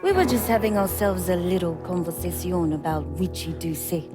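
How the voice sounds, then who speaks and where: A woman playing a cool, hard, distrustful crime boss with a measured, threatening way of talking. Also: fairly low pitch, music in the background, lightly acted. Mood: cool menace.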